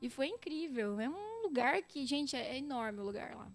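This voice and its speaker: A young Brazilian woman with a Brazilian Portuguese accent, a podcast guest telling lively stories and speaking straight to listeners. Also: high-pitched, moderate pace, soft background music. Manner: lively storyteller, often addresses listeners directly. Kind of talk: casual chat.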